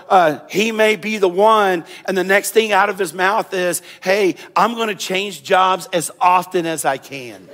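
A male pastor preaching a sermon in a church, talking conversationally, repeating phrases and warming up as he goes. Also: high voice. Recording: long hall reverb.

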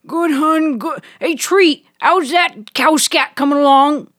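A woman voicing an affectionate old male shopkeeper in a loud, folksy, familiar manner for an audio story. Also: high voice, slow, dry recording, clean studio sound, pitched above the performer's own voice. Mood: jovial, hearty.

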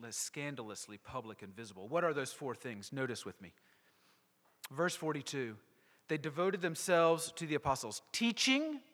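A male pastor preaching a sermon to a congregation, emphatic and rhetorical, with deliberate pauses. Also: on the high side, a bit bright. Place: church sanctuary. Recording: long hall reverb.

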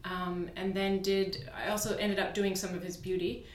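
A woman's voice: on the low side, flat delivery, normal speed, reverberant, slight background noise, rough recording. A woman from Virginia in the United States, a podcast guest chatting casually and easily, with many fillers and restarts. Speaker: podcast guest, beauty brand co-founder. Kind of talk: casual interview chat. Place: studio.

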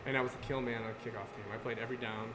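A man in his thirties, a guest artist, talking loosely about his work and sports, heavy on filler words and quick laughs. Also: medium pitch, level tone. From Connecticut. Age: 30s. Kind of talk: casual podcast chat. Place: brewery taproom. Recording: roomy live venue with loud background noise.

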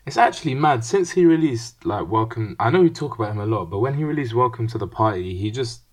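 A young man, co-hosting a music podcast in animated, slangy chat. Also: mid-range voice, fast. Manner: animated, slangy, says bruv a lot. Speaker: podcast co-host. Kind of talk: casual chat about music.

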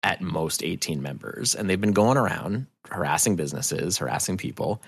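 A middle-aged man from New England giving a casual interview as a podcast guest, halting and thoughtful, often correcting himself. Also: low voice, animated, creaky, slightly rough, no room sound. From New England, United States.

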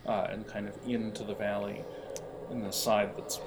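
A man narrating an improvised role-playing game as game master, conversational and pausing to think. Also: fairly low pitch, level tone, background noise.

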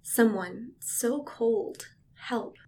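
A woman reading an audiobook voices a frightened, dying young man who pleads in weak, halting words. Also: a little high, mellow, voice pushed up, low-quality recording. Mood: pleading.

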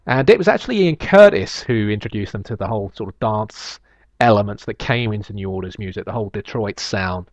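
A man's voice: lowish voice, lively intonation, brisk, no echo. A male podcast host musing in a solo monologue and reading listener emails, with a slight lisp, many fillers and restarts. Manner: reflective, rambling, with frequent fillers and restarts.

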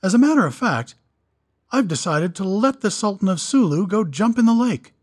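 A man's voice: sits high, big pitch swings, normal speed, darkish tone, voice pushed up. A man voicing a sly, dry-witted doctor who speaks in a purring, wry way. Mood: sardonic. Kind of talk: audiobook character voice.

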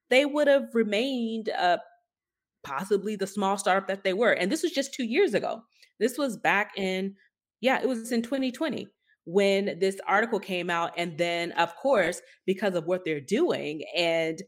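Low-voiced woman co-hosting a podcast. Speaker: podcast co-host. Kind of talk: casual news discussion.

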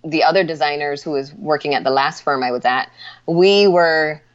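Guest, female, 40s, from Barbados; relaxed, filler-heavy talk, lower register. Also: normal speed, dull audio. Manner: chatty, with frequent "you know" fillers and light laughs. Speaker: guest, hand lettering artist. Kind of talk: casual interview chat.

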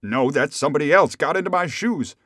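A humble, desperate old storybook man, acted out by a male narrator, with a raised voice that swings widely in pitch. He sounds bewildered and plaintive, crying out his words. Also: sing-song, moderate pace, darkish tone, husky, no echo, clean studio sound. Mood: bewildered despair. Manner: plaintive, exclamatory.

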